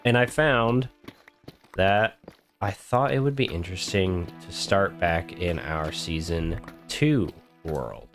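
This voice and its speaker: A man's voice: deep voice, slow pace, fairly bright tone, soft background music. A man hosting his own gaming video, casually narrating while he builds, his voice congested from a cold. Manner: easygoing, rambling, talks while building. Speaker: gaming channel host. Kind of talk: casual gameplay commentary.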